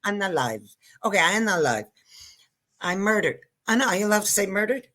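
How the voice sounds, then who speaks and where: A woman's voice: mid-range voice, sing-song, brisk, fairly bright tone. An older woman born in Israel whose first language was Hebrew, telling her story in a rambling, self-interrupting, joking way as a guest comedian. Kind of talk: casual interview chat.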